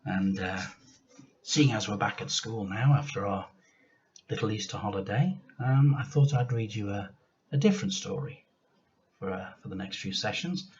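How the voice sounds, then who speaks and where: A man reading a children's storybook aloud like a teacher, with expressive, deliberate and emphatic delivery. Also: fairly low pitch, lo-fi sound.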